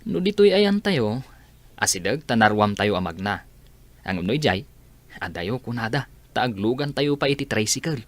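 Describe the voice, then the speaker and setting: A man's voice: medium pitch, very animated, moderate pace, long pauses, close to an everyday voice. A man playing an easygoing provincial young relative in a radio drama. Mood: reassuring. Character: easygoing provincial nephew guiding his relatives.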